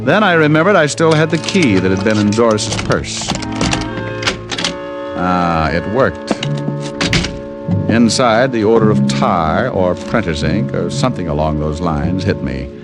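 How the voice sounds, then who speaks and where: Wry, low-voiced radio private eye. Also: male voice, animated, measured pace, over loud music. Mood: wry narrating. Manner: dry, sardonic delivery. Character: wry, wisecracking male private detective. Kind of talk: 1950s radio detective drama, first-person narration and dialogue.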